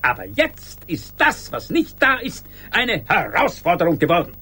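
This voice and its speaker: An actor playing an indignant, pompous office clerk who declaims like an agitator in a German radio play. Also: male voice, high voice, huge pitch swings, moderate pace, sharp tone, breathy edge, heavily acted.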